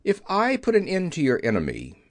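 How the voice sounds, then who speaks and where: A man's voice: fairly high pitch, wildly swooping pitch, fairly quick, dry recording, studio quality, voice pushed up, heavily acted. A man voicing a proud, brave lion in a children's storybook, with a commanding, assured manner. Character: proud, brave male lion, king of beasts.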